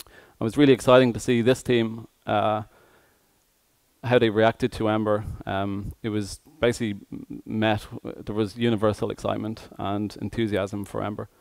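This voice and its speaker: A man giving a tech conference presentation as an engineer, speaking hesitantly with frequent pauses mid-phrase. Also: fairly low pitch, little pitch movement, vocal fry, dry recording.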